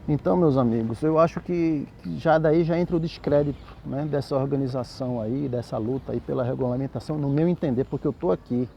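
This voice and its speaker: A man's voice: medium pitch, vocal fry, muffled recording, slight background noise. A middle-aged Brazilian man with a Brazilian Portuguese accent, a capoeira master giving a reflective, argumentative commentary that keeps seeking agreement. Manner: reflective, argumentative, with frequent "né?" tags. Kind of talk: spoken commentary to camera.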